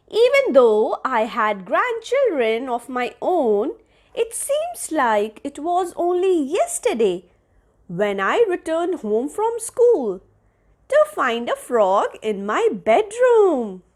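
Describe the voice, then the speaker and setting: Very high, slow, expressive woman storyteller. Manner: deliberate, expressive, teacherly phrasing. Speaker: storyteller reading aloud to young children. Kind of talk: children's read-aloud narration.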